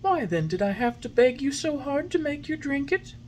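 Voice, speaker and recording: A woman voicing a gentle, patient, motherly fairy in a soft, high voice. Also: sing-song, normal speed, slight background noise. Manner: soft, lilting, soothing.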